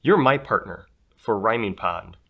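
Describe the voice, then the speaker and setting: A man's voice: on the low side, lively intonation, unhurried, vocal fry, bright, forceful tone, breathy edge, heavily acted. Man acting a brash, bossy little schoolgirl. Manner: brash, demanding. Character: bossy, pushy young schoolgirl.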